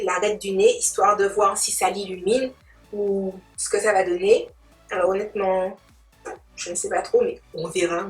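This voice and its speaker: A woman giving a casual makeup tutorial, chatting playfully in slang and addressing viewers like friends. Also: medium pitch. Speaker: beauty vlogger demonstrating makeup. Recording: roomy, distant mic, music behind.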